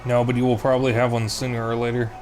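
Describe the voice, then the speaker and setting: A man's voice: medium pitch, fast talker, faint music behind. Easygoing man streamer with a Chicago accent. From Chicago area, USA. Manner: relaxed, rambling, chats with viewers between game moments. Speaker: live streamer. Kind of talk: casual chat while playing a video game.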